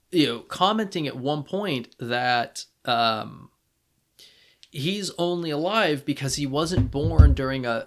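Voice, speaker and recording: A man co-hosting a podcast, talking casually as a fan and thinking aloud in a halting way. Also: medium pitch, a bit bright. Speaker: podcast co-host.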